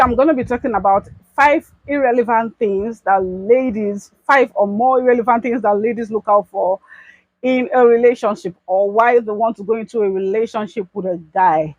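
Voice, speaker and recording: A woman's voice: on the high side, normal speed, dry recording, highs cut off. A young Nigerian woman talking casually to her viewers in an advice vlog. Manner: chatty, addresses viewers as bestie. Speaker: YouTube vlogger.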